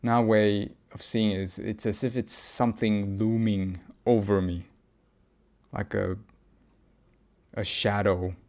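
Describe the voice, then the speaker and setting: Man, low-pitched, creaky gravelly voice, introspective solo monologue, hesitant, searching phrasing. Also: darkish tone. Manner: halting, searching for words, often pausing mid-thought. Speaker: podcast host. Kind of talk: reflective personal audio log monologue.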